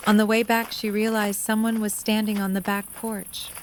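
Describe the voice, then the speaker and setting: A woman's voice: medium pitch, flat delivery, normal speed, slight background noise. A woman reading scripted story narration in the third person.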